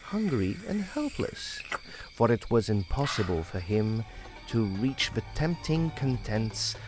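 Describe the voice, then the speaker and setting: A man narrating fables as a guest storyteller in an expressive storybook style. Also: medium pitch, takes it slowly, music in the background, low-quality recording.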